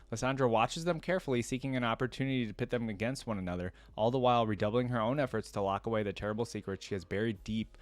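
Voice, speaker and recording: Male reaction creator, on the low side, informal and slangy, reads lore aloud, very dry sound. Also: dry recording. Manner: informal, uses slang like dude and man. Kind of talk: casual reaction commentary mixed with reading lore aloud.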